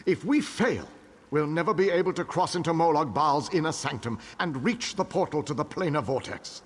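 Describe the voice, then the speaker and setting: Earnest fantasy war ally, breathy rasp, lively intonation, grave briefing, video game, reverberant hall. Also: male voice, fairly high pitch. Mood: grave, urgent. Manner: grave, measured, urgent briefing. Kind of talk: video game character acting.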